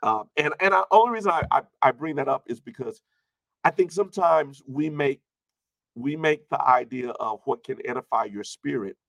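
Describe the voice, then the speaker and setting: A man in his early fifties speaking as a pastor in an informal sermon, repeating phrases for emphasis and moving between loud and hushed delivery. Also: mid-range voice, lively intonation, moderate pace, creaky, dry recording, low-quality recording. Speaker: pastor addressing an online congregation. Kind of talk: informal sermon with personal anecdotes and replies to viewer comments.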